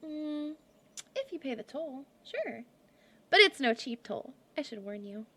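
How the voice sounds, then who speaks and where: High, brash, theatrical bandit queen. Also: big pitch swings, sharp tone, exaggerated voice. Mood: smug, boastful. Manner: theatrical, drawn-out boasting. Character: brash, self-important female bandit leader at a bridge. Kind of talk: fantasy audio roleplay acting.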